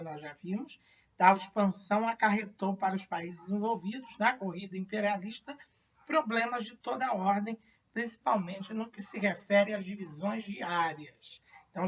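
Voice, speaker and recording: A woman with a Brazilian accent teaching a high school history lecture, asking herself rhetorical questions and tagging sentences with "né?". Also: vocal fry, fairly bright tone, breathy edge. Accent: Brazilian Portuguese. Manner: many tag questions and fillers, rhetorical questions. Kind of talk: classroom lecture. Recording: distant lo-fi classroom recording.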